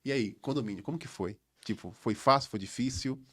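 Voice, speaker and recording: A man's voice: mid-range voice, fairly quick. A Brazilian man from Salvador with a São Paulo accent, hosting a casual interview and echoing his guest's words. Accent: Brazilian Portuguese, São Paulo. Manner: animated, echoes the guest's words, "legal". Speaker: podcast host.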